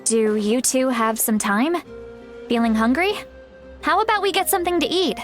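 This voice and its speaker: A woman's voice: high-pitched, moderate pace, soft background music, natural voice. A woman voicing a hot-tempered but caring old granny in a video game, scolding in an exasperated, emphatic way. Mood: calmer, weary. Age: older.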